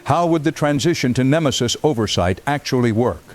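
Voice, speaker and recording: A man's voice: medium pitch, animated, fairly quick, faint music behind, close to an everyday voice. A man playing a composed news anchor in an audio drama, putting probing questions in a formal broadcast manner. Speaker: news anchor interviewing a guest.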